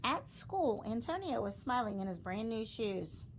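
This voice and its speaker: A woman reading a children's storybook aloud, expressively, with dramatic emphasis and deliberate pauses. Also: on the high side, very animated, slight background noise, rough recording. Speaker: storybook reader.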